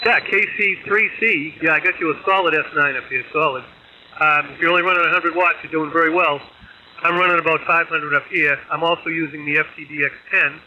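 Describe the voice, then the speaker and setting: A sharp, very bright male voice, slightly high, comes over ham radio with faint static. He gives plain, polite operator chat. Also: fairly even intonation, brisk, low-quality recording. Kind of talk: casual radio signal report. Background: faint radio noise.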